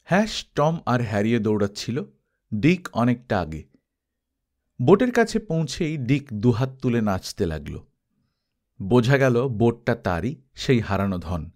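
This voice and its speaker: Male storyteller, medium pitch, dramatized audiobook narration, expressive delivery. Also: a little slow, pauses often. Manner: expressive, acts out characters' dialogue. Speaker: storyteller reading an adventure story.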